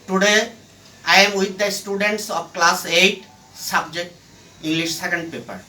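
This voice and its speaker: A male teacher with a Bangladeshi accent speaks slowly in a high, bright voice. He lectures formally, phrase by phrase with deliberate breaks. The recording is echoey and picked up from a distance. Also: steady tone. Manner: deliberate, halting phrase-by-phrase delivery. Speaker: English grammar teacher. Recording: echoey room, distant mic, faint noise.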